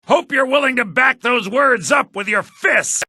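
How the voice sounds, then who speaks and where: Raspy, theatrical fantasy shopkeeper. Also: male voice, animated, unhurried, pushed and bright, no room sound, studio quality, full character voice. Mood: alarmed, angry. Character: sly fantasy male shopkeeper, grasping, quick to yell at thieves. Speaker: merchant character in a fantasy video game. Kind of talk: video game character barks. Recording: low-bitrate compressed game audio rip.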